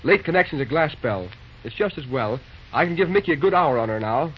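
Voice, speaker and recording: Man playing an earnest high-ish railroad dispatcher. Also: expressive, a little fast, lightly acted. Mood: businesslike. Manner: businesslike, colloquial. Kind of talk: old-time radio drama acting. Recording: muffled old radio recording, faint noise.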